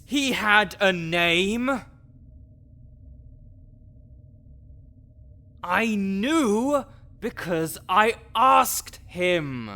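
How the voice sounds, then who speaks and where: A man's voice: high voice, sharp tone, full character voice. A man playing a grouchy, scholarly necromancer in an audio roleplay, condescending, pedantic and sarcastic. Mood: indignant outrage. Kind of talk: audio roleplay acting addressed to the listener.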